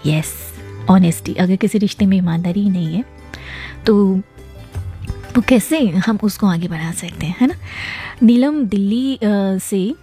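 A hoarse woman hosting a live show, chatting warmly with listeners and reading out their messages and greetings. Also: mid-range voice, a little slow, long pauses, soft background music. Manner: friendly, addresses listeners directly, frequent "hai na". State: hoarse from a viral illness. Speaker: host of a message-based request show.

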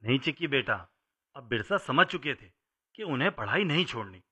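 A bright-voiced storytelling father. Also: mid-range voice, lively intonation, a little fast, husky, heavily acted. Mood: explaining. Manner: explanatory, emphatic. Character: patient elder man telling history to his child. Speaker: narrator. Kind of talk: scripted storytelling narration. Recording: slightly dull, lo-fi audio.

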